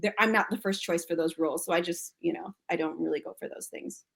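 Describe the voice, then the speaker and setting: An American actress talking casually as an interview guest, chatty and full of restarts and fillers. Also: female voice, lowish voice, fairly even intonation, fast, vocal fry, breathy edge. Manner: chatty, frequent fillers and restarts, laughs often.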